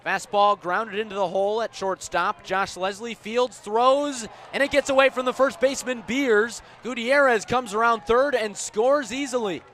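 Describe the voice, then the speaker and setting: Male radio baseball commentator, forceful bright tone, live play-by-play delivery, faint ballpark noise behind. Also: steady tone. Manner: measured between pitches, punchy and louder on plays. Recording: outdoor ballpark ambient noise.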